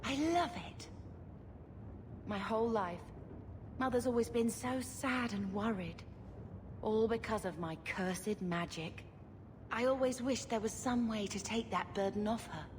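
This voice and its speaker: An actress playing a gentle, troubled young mage daughter in a fantasy video game, speaking tenderly and sincerely. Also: female voice, measured pace, long pauses, close to an everyday voice. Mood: tender, wistful. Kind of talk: video game cutscene acting. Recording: long reverb, faint rumble.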